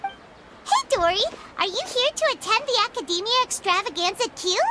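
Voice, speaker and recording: Squeaky, very high-pitched fairy sidekick. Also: female voice, big pitch swings, normal speed, heavy background noise. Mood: perky, insistent. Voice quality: squeaky, childlike. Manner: refers to self by name, exclamatory. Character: tiny cheerful hungry childlike fairy companion, female. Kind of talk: animated video game voice acting.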